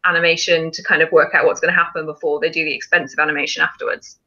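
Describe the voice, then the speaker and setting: Low-voiced woman with a bright edge, muffled audio. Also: flat delivery, very fast. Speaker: film challenge live stream host. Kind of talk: casual live stream chat.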